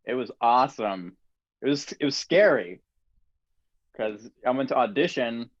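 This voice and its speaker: A man hosting a casual podcast conversation, telling relaxed stories with frequent laughs. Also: medium pitch, a little slow, dry recording, lo-fi sound. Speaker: podcast host.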